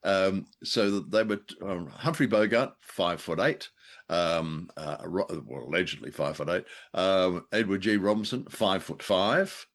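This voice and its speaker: Man chatting in a low, wry voice. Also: unhurried, fairly bright tone. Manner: wry, jokey, wordy asides. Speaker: podcast co-host. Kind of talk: casual review chat about an old radio play.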